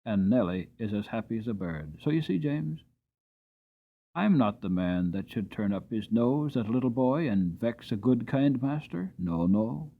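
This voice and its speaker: A man's voice: mid-range voice, lively intonation, normal speed. Kind old-fashioned coachman, a man voicing him, plain and earnest, phone-line quality. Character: kind, hardworking male coachman. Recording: tinny narrowband recording.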